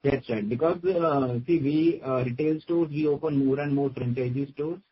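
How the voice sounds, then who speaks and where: A man with an Indian accent giving matter-of-fact, halting answers as a finance executive on an earnings call. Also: medium pitch, breathy edge. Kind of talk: spoken answers on a business conference call. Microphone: conference call line. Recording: dull, lo-fi conference call audio.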